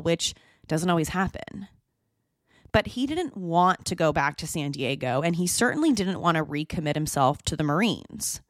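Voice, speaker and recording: A woman hosting a true crime podcast, telling the story in a measured, scripted narration. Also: lowish voice, no room sound, studio quality. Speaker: podcast host.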